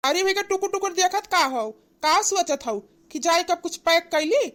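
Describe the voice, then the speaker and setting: An angry village husband and devoted son voiced by a woman for cartoon comedy. The voice is high, with an Awadhi accent. The delivery is callous and hectoring. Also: lively intonation, moderate pace, a bit bright. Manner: hectoring, repetitive scolding.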